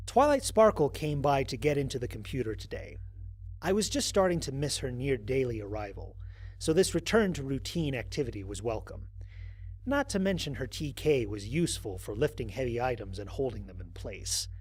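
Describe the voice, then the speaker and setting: A man's voice: mid-range voice, normal speed, slightly rough. A man playing a lone astronaut who narrates his mission logs in a wry, exasperated, conversational way, as in an audio drama. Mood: wry, upbeat. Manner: conversational, expressive, sardonic. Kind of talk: dramatized first-person log narration.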